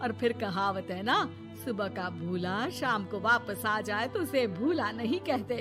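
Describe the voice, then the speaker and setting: A woman's voice: mid-range voice, animated, unhurried, over music, natural voice. A woman voicing a blunt, bossy older mother-in-law in a scolding, dramatic cartoon manner. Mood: insistent. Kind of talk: Hindi cartoon moral story dialogue.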